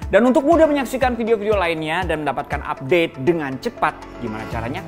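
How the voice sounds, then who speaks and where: Lower-pitched woman announcer over music. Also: lively intonation, quick pace. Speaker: channel announcer. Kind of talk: scripted promotional narration. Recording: music behind the voice.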